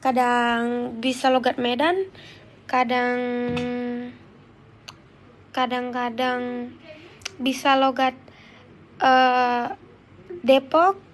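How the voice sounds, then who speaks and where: Young female livestreamer, high voice stuffy with flu, slangy casual chat, noisy phone audio. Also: steady tone, extremely slow, pauses often. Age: young adult. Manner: informal, slangy, chatty. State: congested with flu. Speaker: livestreamer answering viewer comments.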